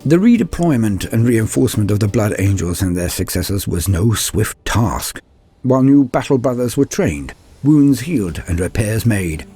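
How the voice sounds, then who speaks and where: A man giving a grave, theatrical reading of scripted war lore as a narrator, in measured phrases. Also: mid-range voice, warm tone, breathy edge. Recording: voice over background music. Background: music.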